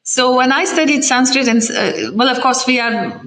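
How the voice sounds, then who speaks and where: A middle-aged woman from Lithuania, speaking English with a Lithuanian accent, answering interview questions as an ambassador in a measured, explanatory way with frequent fillers and restarts. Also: high-pitched, a bit bright.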